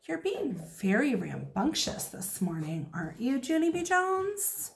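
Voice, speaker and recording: A woman voicing a weary, restrained male first-grade teacher in a storybook, measured and quietly firm. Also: sits high, huge pitch swings, creaky, voice pushed up, heavily acted. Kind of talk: children's storybook read-aloud.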